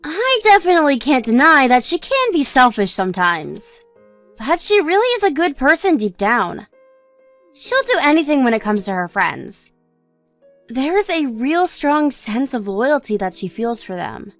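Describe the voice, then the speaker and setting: A woman playing a gentle, modest young wife in a scripted audio story, talking in a reassuring, explanatory way. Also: high voice, big pitch swings, normal speed, dry recording, soft background music, full character voice. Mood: explaining, friendly.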